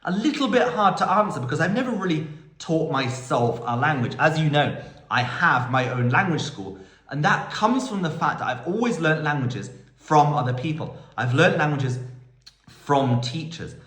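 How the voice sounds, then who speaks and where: A man's voice: fairly high pitch, big pitch swings, normal speed, fairly bright tone. A man in his thirties talking about learning languages in a casual, enthusiastic Q&A, wandering off on tangents. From United Kingdom. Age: 30s. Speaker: language school owner and polyglot vlogger. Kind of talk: casual Q&A monologue. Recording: echoey room.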